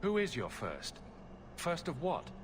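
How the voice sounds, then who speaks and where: A wry adventurer dude in a fantasy game, dry but pitch moves a lot, music behind. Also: male voice, huge pitch swings, unhurried, noisy background. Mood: questioning. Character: wry human adventurer, blunt and questioning. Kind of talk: fantasy video game dialogue.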